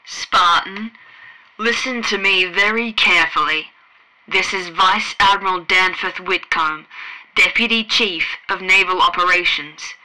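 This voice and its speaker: A woman's voice: lowish voice, measured pace, sharp tone, rough recording. A woman voicing a blustering male vice admiral who barks commands over the radio. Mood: commanding. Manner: barking, commanding.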